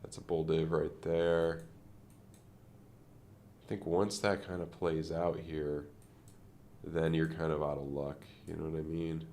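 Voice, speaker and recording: A man's voice: extremely low pitch, level tone, unhurried, pauses often, darkish tone, a little hiss or hum. A man streaming live crypto chart commentary, rambling and thinking aloud with many fillers, sounding nasal and congested from a sinus infection.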